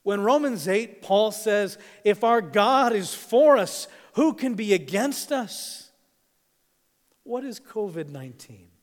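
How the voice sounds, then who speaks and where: A man who grew up in East Africa, preaching a sermon, swinging between hushed and emphatic delivery with dramatic pauses. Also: high-pitched, expressive. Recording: long hall reverb.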